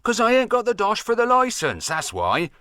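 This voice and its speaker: A man voicing a frustrated young lad, quoted by an old farmer, in a high eager complaining voice. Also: huge pitch swings, moderate pace, sharp tone, no room sound, heavily acted.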